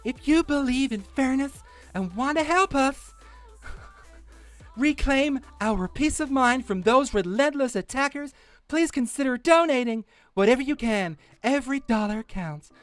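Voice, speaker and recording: A man's voice: extremely high pitch, lively intonation, a little slow, fairly bright tone, no room sound, faint music behind, pitched above the performer's own voice, heavily acted. A man doing a mock dramatic reading as a pompous, melodramatic fundraiser, pleading like a politician on the stump. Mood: solemn pleading. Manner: overblown, politician-like speechifying. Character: pompous, melodramatic crowdfunding appealer pleading for justice.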